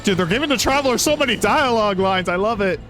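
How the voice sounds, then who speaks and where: A man giving casual, sweary live reactions as a game streamer, excitable and slangy. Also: sits high, quick pace. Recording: game music behind the voice. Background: video game music.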